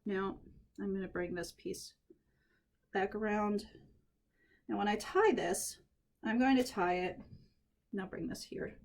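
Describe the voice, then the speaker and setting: A woman at home, a little croaky from allergies, chatting through a head-wrap tutorial and thinking aloud as she works. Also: medium pitch, measured pace, long pauses. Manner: chatty, thinks aloud while working, frequent restarts. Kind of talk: casual hands-on tutorial.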